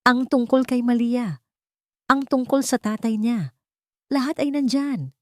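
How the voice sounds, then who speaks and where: A woman narrator voicing a cold, calculating, vengeful wife in an audio drama, menacing and deliberate. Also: fairly high pitch, a little mellow, no room sound, voice pushed up, close to an everyday voice. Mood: cold menace.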